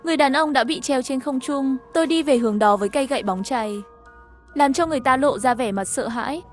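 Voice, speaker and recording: A woman's voice: high voice, fast talker. A woman reading a scripted first-person story as its narrator, with music behind her.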